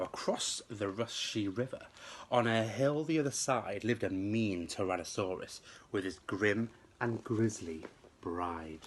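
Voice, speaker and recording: A man reading a children's storybook aloud like a teacher to pupils, with expressive, emphatic, rhythmic delivery. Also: fairly low pitch, slight background noise.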